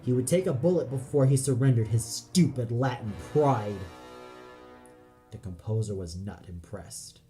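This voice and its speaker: A man's voice: medium pitch, measured pace, warm tone. A man reading dramatic scripted narration for an audio play. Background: music.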